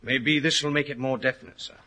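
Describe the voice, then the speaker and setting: An actor playing a plain-spoken horse trainer in a 1940s radio drama, on a noisy vintage recording. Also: male voice, mid-range voice, fairly even intonation, fairly quick, pushed and bright, natural voice. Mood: earnest, worried. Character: gruff, bold English head horse trainer, respectful to gentlemen.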